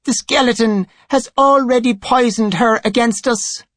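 Man playing an imperious high-voiced high priest. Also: a little slow, dry recording, clean studio sound. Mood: bitter. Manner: imperious, bitter, sighing. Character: imperious, weary male high priest, tall and narrow. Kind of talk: audiobook character voice.

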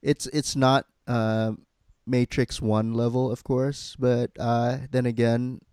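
A man hosting a podcast in casual, halting chat peppered with profanity. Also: medium pitch, little pitch movement, a little mellow, smooth, no room sound, studio quality. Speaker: podcast host.

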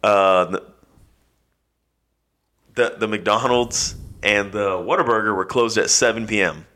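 A man's voice: fairly low pitch, measured pace, pushed and bright. A young man co-hosting a podcast, talking bluntly, his voice stuffed up from allergies. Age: young adult. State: congested from allergies. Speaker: podcast co-host. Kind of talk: casual chat.

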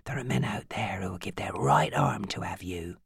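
A brutal, possessive man from an audiobook drama, acted out by a woman reader. He is hoarse and gravelly and full of menace, able to bark a demand or hiss it in a harsh murmur. The sound is close and dry. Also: very deep voice, expressive, moderate pace, dry recording, voice pushed down, exaggerated voice. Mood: possessive, menacing. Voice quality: hoarse harsh whisper, sudden barks. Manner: menacing, swings between barking and murmuring. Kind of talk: audiobook character acting.

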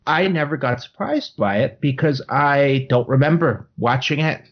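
A man in his forties chatting casually as a podcast co-host, playful and quick to laugh. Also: medium pitch, animated. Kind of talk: casual chat. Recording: dull lo-fi sound.

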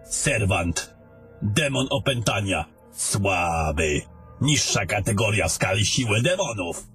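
A man's voice: fairly low pitch, lively intonation, slow pace, a bit bright, soft background music. A man playing a scornful, domineering battle mage in a fantasy audio drama, with a commanding and coarse way of talking. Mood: matter-of-fact.

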